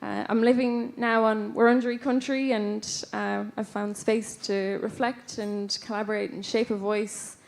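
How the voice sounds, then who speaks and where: A woman's voice: mid-range voice, level tone, a little slow, gravelly, hardly any pauses, darkish tone. Hesitant Irish woman giving a speech. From west of Ireland. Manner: hesitant, many fillers and restarts, laughs easily. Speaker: award winner, folk musician. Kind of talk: acceptance speech. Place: stage of a ballroom.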